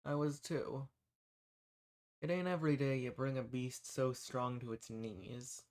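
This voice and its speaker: A man's voice: mid-range voice, steady tone, a little slow, darkish tone, natural voice. A man playing a folksy undercover rebel among monster hunters in a roleplay audio drama. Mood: menacing. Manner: folksy, reassuring. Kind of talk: scripted roleplay audio drama addressed to the listener.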